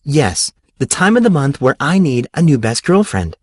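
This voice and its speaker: Man voicing a sarcastic teenage tomboy, pitched up. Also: sing-song, normal speed. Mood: exasperated. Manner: sarcastic, exasperated. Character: Sam, teenage tomboy girl, sarcastic, easily exasperated student.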